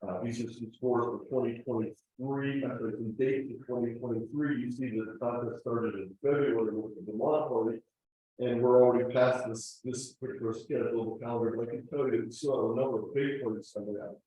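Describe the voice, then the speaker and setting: A man's voice: medium pitch, steady tone, brisk, mellow, muffled recording, slight background noise. Man presenting from across an echoey room. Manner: explanatory, with frequent fillers. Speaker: club presenter. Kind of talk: club meeting slide presentation. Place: club meeting room. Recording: distant, roomy meeting-room recording.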